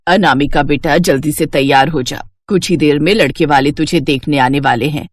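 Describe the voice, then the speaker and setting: A woman's voice: sits low, normal speed, no echo, voice pushed down. A woman voicing a poor widowed mother, a humble and caring housemaid, in a cartoon story. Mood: caring, urging.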